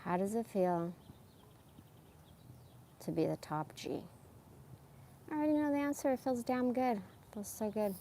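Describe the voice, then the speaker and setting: A woman's voice: on the high side, lively intonation, unhurried, long pauses, warm tone, slight background noise. A woman in her forties rambling casually about personal things in a candid, emphatic, slangy way. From born in Iran, moved to America as a young child. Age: 40s. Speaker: live streamer.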